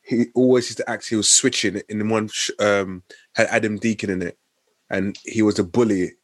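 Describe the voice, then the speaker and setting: A man's voice: on the low side, fairly even intonation, moderate pace, no room sound. A man in a casual group chat, easygoing and agreeable.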